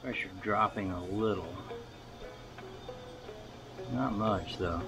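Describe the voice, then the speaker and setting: A man's voice: fairly low pitch, slow pace, music in the background. A man talking casually through a car repair, thinking aloud in a folksy way. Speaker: home mechanic vlogger. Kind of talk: casual workshop narration.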